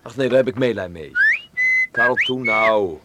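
An actor playing a naive, soft-hearted but stubborn young student in a Dutch radio play, earnest and questioning. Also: male voice, lowish voice, normal speed, heavy background noise, close to an everyday voice. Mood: pitying. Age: young adult.

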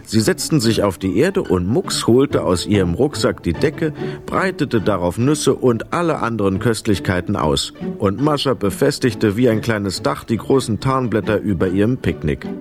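Male storyteller, children's audio drama. Animated, dramatic delivery, medium pitch, faint music behind. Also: normal speed. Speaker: narrator. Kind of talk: scripted children's story narration.

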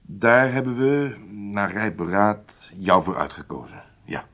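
Secret service boss in a radio drama, lowish voice, deliberate, lots of intonation. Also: male voice, lively intonation, vocal fry, fairly bright tone, full character voice. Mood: grave, confiding. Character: weighty, deliberate Israeli secret service chief.

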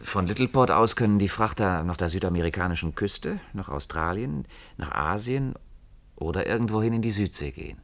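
A man's voice: low voice, lively intonation, a little fast, a bit bright. An actor in a German science fiction radio play, playing an earnest, inquisitive company man with a thoughtful, probing manner. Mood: pensive.

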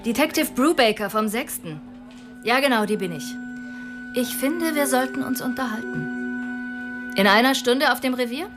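An actress playing a determined, sharp-witted police detective in a radio drama, businesslike and firm. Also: female voice, a little high, expressive, normal speed, pauses often, music in the background, lightly acted. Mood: brisk, intent.

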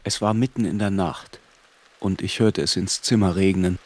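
An actor playing a reflective narrator who recalls his youth in measured literary first-person narration. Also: low voice, steady tone, a little mellow, a little hiss or hum, close to an everyday voice.

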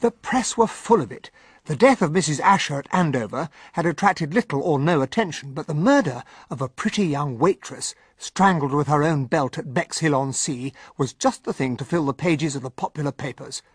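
An earnest English gentleman narrating a radio mystery. His voice is fairly high for a man and slightly husky, recorded dry. He is well-spoken and measured, with an English accent. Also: animated, dry recording. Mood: earnest narration. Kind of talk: radio drama acting and narration.

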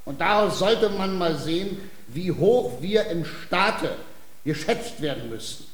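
In a roomy, echoing recording, a boastful fencing master from a radio play. His voice is high for a man, with pompous, declamatory delivery and a quarrelsome swagger. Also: animated, measured pace. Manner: pompous, declamatory, barking drill commands. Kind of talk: German radio play acting, classical comedy. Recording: roomy, echoing radio drama with faint noise.

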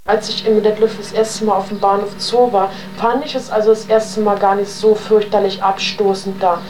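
A woman's voice: mid-range voice, little pitch movement, normal speed, darkish tone, a little hiss or hum, rough recording. A young woman recounts her life in rambling, slangy, spontaneous speech on an archival interview tape. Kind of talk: spontaneous, colloquial oral testimony.

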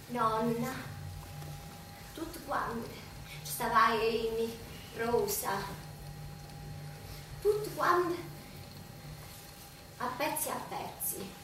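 An actress playing a raving, grieving mother in a theatrical monologue, with a southern Italian accent and repetitive, incantatory delivery. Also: fairly high pitch. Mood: subdued grief. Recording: echoey stage, distant mic, faint noise.

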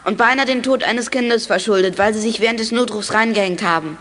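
A woman's voice: a little high, fairly quick, a bit bright, slight background noise, close to an everyday voice. A woman playing a bold, plucky boy detective in a children's audio drama. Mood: bold, accusing. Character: plucky boy detective, son of radio veteran. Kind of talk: 1980s German children's audio drama acting.

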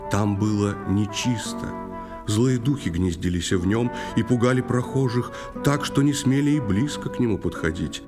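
A man narrating a poetic old tale as part of a children's musical audio play. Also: lowish voice. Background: guitar music.

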